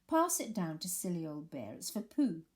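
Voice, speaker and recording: A woman's voice: fairly low pitch, big pitch swings, normal speed, darkish tone. A woman voicing an eager young boy who announces things earnestly.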